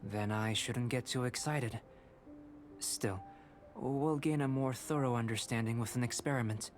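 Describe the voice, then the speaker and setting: A man voicing a calm, methodical young alchemist. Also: medium pitch, lightly acted. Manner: measured, courteous, analytical. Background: game music.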